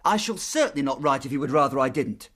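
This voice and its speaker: A man acting in a period radio drama, playing an earnest, excitable young Victorian clerk with a British accent and a polite, eager way of telling things. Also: mid-range voice, quick pace. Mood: indignant.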